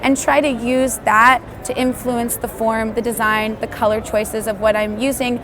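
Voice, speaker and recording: A woman's voice: a little high, flat delivery, normal speed, over music. A woman designer from Canada explaining her work in an interview, in a thoughtful, explanatory way. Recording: noisy background ambience.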